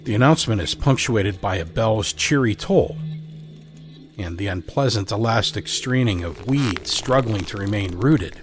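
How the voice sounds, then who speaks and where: A man narrating an audio drama in a measured, dramatic storytelling manner. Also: fairly low pitch, lively intonation, music in the background.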